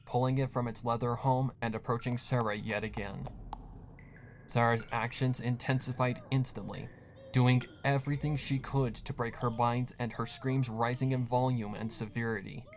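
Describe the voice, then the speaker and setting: A man's voice: medium pitch, fairly even intonation, moderate pace. A man reading a scripted horror story as a dramatic narrator. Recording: faint background noise.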